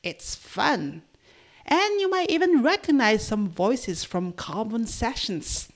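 A woman's voice: on the high side, very animated, takes it slowly. A woman hosting a podcast, reading a scripted introduction. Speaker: podcast host.

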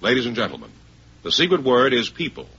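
A man's voice: medium pitch, huge pitch swings, fast, pushed and bright, husky. An American man working as announcer and sidekick on a mid-century radio show. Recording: noisy dull old radio recording.

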